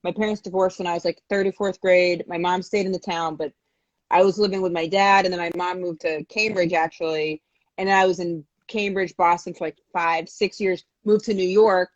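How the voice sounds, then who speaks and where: A young adult woman from Maine chatting casually on a video call. Her voice sits a little low and comes through dull, lo-fi phone audio. She rambles, gets sidetracked and restarts her sentences. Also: fairly even intonation, normal speed, no room sound. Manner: rambling, easily distracted, self-correcting. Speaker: comedian guest. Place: indoors at home.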